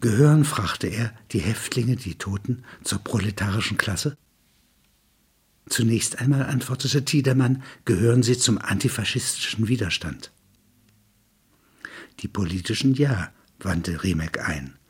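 A man narrates literary prose in a low, heavily husky voice. The delivery is measured and sober, like a read audio piece, with a rough edge to the tone.

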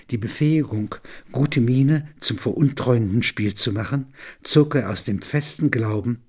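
Man reading and commenting, husky voice. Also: mid-range voice. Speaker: narrator and commentator.

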